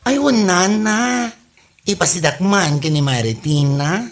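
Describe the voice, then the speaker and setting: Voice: mid-range voice, lively intonation, unhurried. A performer with an Ilocano accent playing a gossipy, bantering village woman in a Filipino comedy audio drama, exclamatory and teasing. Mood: chatty.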